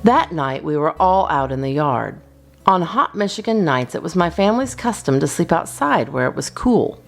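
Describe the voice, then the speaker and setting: A woman narrates a children's storybook as its host, in a slightly low voice that swings expressively. There is noticeable creak in her tone, and soft music plays behind her theatrical reading. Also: big pitch swings, normal speed.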